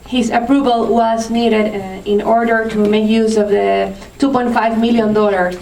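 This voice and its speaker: A woman's voice: fairly high pitch, steady tone, normal speed, darkish tone, a little hiss or hum. Woman panelist in an echoey hall. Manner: hesitant, many fillers and restarts. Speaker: conference panelist. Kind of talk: panel answer to audience question. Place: conference room. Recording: roomy, distant from the mic.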